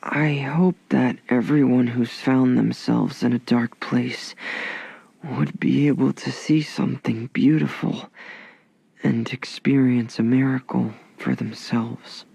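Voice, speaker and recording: An actor voicing a shy, earnest teenage boy in a video game, hesitant, reserved and soft-spoken. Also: medium pitch, fairly even intonation, slow pace, gravelly, breathy edge, no room sound, full character voice, low-quality recording. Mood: quiet, hopeful.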